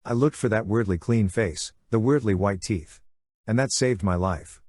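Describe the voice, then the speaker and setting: Husky low-voiced man narrating an adventure audiobook. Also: normal speed, darkish tone, no room sound, clean studio sound. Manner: conversational, punchy short phrases, acts out sound effects. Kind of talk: first-person dramatic audiobook narration of a young adult novel.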